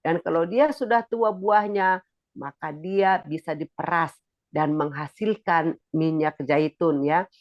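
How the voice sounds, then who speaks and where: A preacher giving a sermon with a stuffy, nasal voice while recovering from illness, pitching up and getting louder as they explain a point. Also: slow pace, no room sound, muffled recording. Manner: rises in pitch and volume when explaining.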